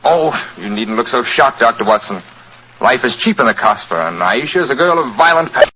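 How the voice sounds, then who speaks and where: A smooth, world-weary expatriate villain, played by a man, urbane and evasive. His mid-pitched voice moves expressively in old radio-drama fashion. The recording is dull, with faint noise. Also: animated, brisk, lightly acted. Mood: nonchalant, urbane. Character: suave, world-weary English expatriate impostor and murderer. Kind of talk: 1940s radio acting. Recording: muffled, noisy 1940s radio recording.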